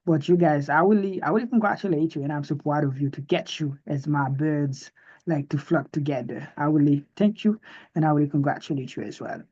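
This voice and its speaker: A man's voice: fairly high pitch, fairly quick, darkish tone, breathy edge. A man whose first language is Haitian Creole, an English teacher hosting an online class, heaping praise on students with stumbling restarts. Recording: muffled online call audio.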